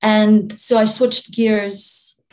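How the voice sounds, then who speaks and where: A young woman from Albania, a spiritual channeler, talking in a relaxed interview, soft-spoken with frequent laughs and restarts. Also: mid-range voice, extremely slow, low-quality recording.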